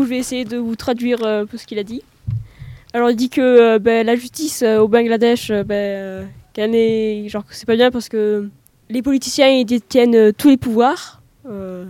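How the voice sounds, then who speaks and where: A woman's voice: a little high, fairly even intonation, fairly quick, mellow, dry recording. A teenage female student with a French accent, informally asking questions and interpreting in a hesitant, colloquial way.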